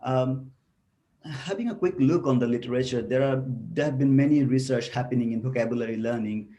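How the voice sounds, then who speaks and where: A man's voice: mid-range voice, fairly quick, dark tone, muffled recording. Hesitant man presenting from Bangladesh. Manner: hesitant, many filler sounds. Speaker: webinar presenter, researcher. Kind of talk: academic presentation. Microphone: computer mic on a video call.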